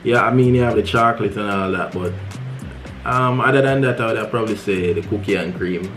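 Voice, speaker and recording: A man's voice: fairly low pitch, fairly quick, fairly bright tone, soft background music. A young man from Jamaica with a Jamaican accent, co-hosting a family vlog in casual chat, laid-back and laughing often. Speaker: vlog co-host. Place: home bedroom.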